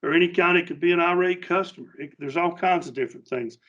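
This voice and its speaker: A man's voice: sits high, a bit bright. Folksy middle-aged man, slightly dull audio. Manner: folksy, halting, plainspoken. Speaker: safety trainer. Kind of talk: informal workplace safety training talk.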